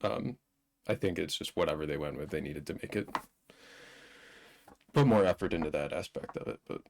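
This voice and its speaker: A man's voice: extremely low pitch, animated, moderate pace, gravelly, slight background noise. A man co-hosting a live-streamed movie discussion in a casual conversational style. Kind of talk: casual chat, film commentary.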